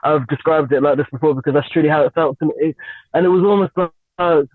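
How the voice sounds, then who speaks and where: A young woman from Lagos and London, a singer, chatting as an interview guest with frequent giggles and lots of halting fillers. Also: low-pitched, no room sound. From Nigeria and England. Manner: giggly, hesitant with many fillers. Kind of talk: radio interview, casual chat. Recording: tinny phone-line sound, dry.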